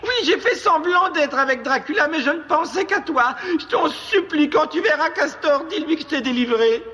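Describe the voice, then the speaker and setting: A man's voice: extremely high pitch, expressive, normal speed, sharp tone, a little hiss or hum, heavily acted. A man voicing a sly, cowardly little turncoat creature in a French cartoon, whining and wheedling with excuses. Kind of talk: French cartoon dub acting. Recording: dull audio under clear music.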